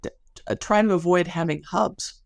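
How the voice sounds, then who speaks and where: Woman with a creaky, dry-recorded voice. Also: fairly low pitch, moderate pace, no echo. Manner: articulate, analytical. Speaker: interview guest, sociology researcher. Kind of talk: explanatory interview talk.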